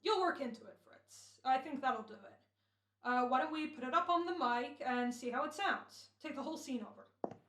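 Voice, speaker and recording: A woman playing a brisk, fussy male radio director in an old-time drama, bossy and thinking aloud. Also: sits high, expressive, a bit bright, slight background noise. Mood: businesslike, fussy. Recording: echoing room, home recording.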